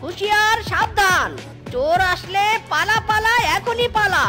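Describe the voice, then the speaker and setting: Bumbling blind guy who brags and swears, very high voice, done by a woman, music behind. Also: expressive, measured pace, pushed and bright, heavily acted. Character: blind boastful young male guard.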